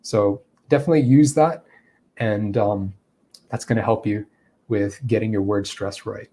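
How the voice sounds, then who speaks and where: A man teaching English, answering viewers' questions in a relaxed explanatory way with frequent fillers. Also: lowish voice, a little slow. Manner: explanatory, thinking aloud with fillers.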